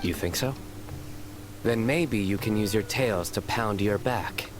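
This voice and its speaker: An actor playing a stern, solemn young male law enforcer in a video game, delivering lines deadpan over noisy backing. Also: fairly low pitch, a little slow, lightly acted. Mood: deadpan joking.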